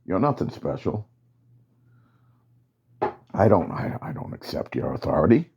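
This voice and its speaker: A man's voice: low voice, animated, normal speed, vocal fry, dark tone, highs cut off. A man voicing a doubtful male soldier who questions and mocks his commander's orders. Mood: defiant. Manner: hesitant at first, then mocking and challenging.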